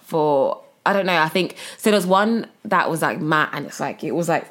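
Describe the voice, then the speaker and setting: A woman's voice: low voice, normal speed, fairly bright tone. A young woman co-hosting a podcast in casual conversation, agreeable and informal with slangy phrasing. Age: young adult. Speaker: podcast co-host.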